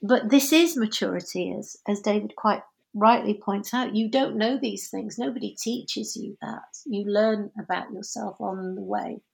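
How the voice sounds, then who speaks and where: A woman's voice: medium pitch, a little slow, gravelly, rough recording. A woman actor being interviewed, talking in a chatty way, laughing often and correcting herself. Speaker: actor and dancer guest. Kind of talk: conversational interview.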